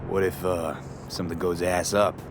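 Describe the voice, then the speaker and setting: Low, dry-witted mercenary man played by an actor. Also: level tone, normal speed, close to an everyday voice. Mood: amused. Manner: dry, clipped, wry. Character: laconic, dryly joking male mercenary. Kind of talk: video game dialogue acting. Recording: vehicle and outdoor noise behind.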